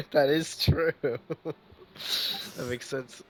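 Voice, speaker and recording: A man's voice: a little high, fairly even intonation, extremely slow. A man giving casual, chatty gameplay commentary as a streamer. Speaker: game streamer. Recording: faint game noise behind.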